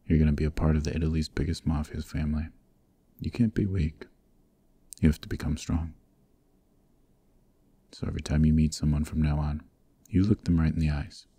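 A man playing a cold-hearted, commanding young mafia heir in a scripted ASMR roleplay, speaking sternly in a gravelly voice with clipped menace. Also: little pitch movement, fairly quick, long pauses, dark tone. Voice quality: gravelly, very deep.

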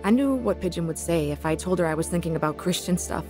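A woman's voice: lowish voice, lively intonation, quick pace, lightly acted. An actress playing an earnest young woman teacher who narrates her own faith story in a radio drama. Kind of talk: dramatized first-person testimony narration. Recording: new-age music behind the voice.

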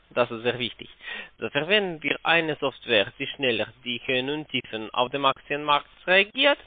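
A man with a foreign accent, not a native German speaker, haltingly reading out a phone sales pitch in broken German and stumbling over his words. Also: dry recording. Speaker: telephone sales agent. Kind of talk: scripted cold-call sales pitch, read out haltingly. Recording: narrow, tinny phone line.

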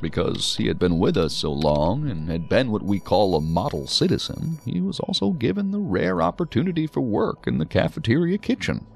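An actor playing an earnest, hesitant psychiatrist who explains things in wordy, precise clinical terms in an audio drama. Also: male voice, on the low side, sing-song, normal speed, creaky, hardly any pauses, husky, exaggerated voice. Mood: explaining, hesitant. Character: talkative, earnest, hesitant male psychiatrist answering investigators. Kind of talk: science fiction audio drama acting.

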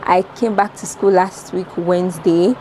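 A woman's voice: on the low side, fairly even intonation, unhurried, darkish tone, rough recording. A young woman with a Nigerian accent chatting casually and directly to her viewers in a vlog, recorded at arm's length. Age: young adult. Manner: informal, addresses viewers directly. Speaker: vlogger, student. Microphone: camera at arm's length. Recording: background noise.

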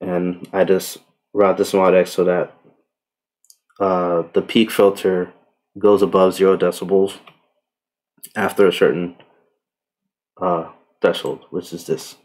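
A man giving a casual software tutorial in a relaxed, unhurried way with tentative asides. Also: low voice. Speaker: tutorial presenter. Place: indoors, voice-over on screen recording.

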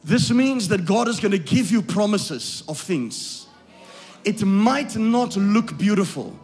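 A man preaching a live sermon to a congregation. He swings from quiet asides to loud, shouted lines, repeats his phrases, checks that the crowd follows, and is losing his voice. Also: sits high, animated, slow, breathy edge, faint music behind. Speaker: pastor.

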